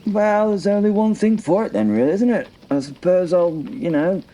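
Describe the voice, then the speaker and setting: A man's voice: sits high, lively intonation, moderate pace, warm tone, poor audio. A man playing a wry, battle-hardened medieval knight in an audio drama, with dry, hesitant, sardonic banter. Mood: dry, reluctant warmth.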